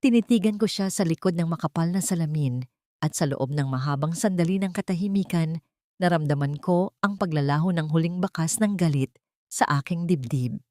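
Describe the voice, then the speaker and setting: Woman reading a dramatic story, low soft-edged mellow voice, very dry close sound, solemn n deliberate. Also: no room sound. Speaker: narrator. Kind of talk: scripted first-person dramatic story narration.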